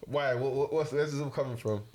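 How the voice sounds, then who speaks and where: A man's voice: medium pitch, a little fast, a little mellow, slight background noise. A man, co-hosting a podcast and chatting casually, playful but reflective at times. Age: 20s. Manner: playful, laughs, then reflective.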